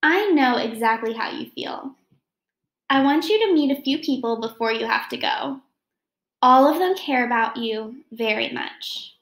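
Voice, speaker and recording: A young woman voicing a storybook girl's gentle, knowing grown-up future self, earnest and reassuring. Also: on the high side, expressive, a little slow, echoey room, rough recording.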